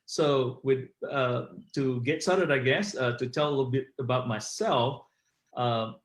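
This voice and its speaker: A man's voice: medium pitch, measured pace, echoey room. A middle-aged man from Malaysia, an author telling his story as an interview guest, rambling with many fillers. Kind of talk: conversational interview.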